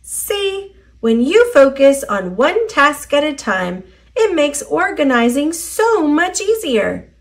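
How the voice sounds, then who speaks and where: Woman voicing a high, lilting cheerful little storybook helper. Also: sing-song, takes it slowly, heavily acted. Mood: encouraging. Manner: upbeat, instructive. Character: cheerful, encouraging little spot character teaching children. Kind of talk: children's storybook read-aloud.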